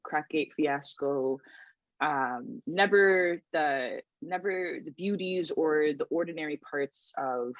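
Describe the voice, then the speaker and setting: A woman's voice: low voice, slow, no echo, muffled recording. A woman, a writer and researcher talking thoughtfully in a casual podcast chat, with many restarts and ums. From Toronto, Canada.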